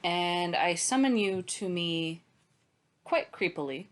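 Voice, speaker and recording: A woman's voice: fairly low pitch, lively intonation, slow. A woman in a casual book discussion, playfully laying out scenes as she makes her point. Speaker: podcast co-host.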